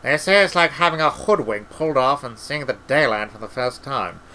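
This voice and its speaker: A man's voice: medium pitch, expressive, normal speed, pushed and bright, low-quality recording. Man as a gloomy, doubting wizard. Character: gloomy male wizard, doubtful, puzzled and insistent.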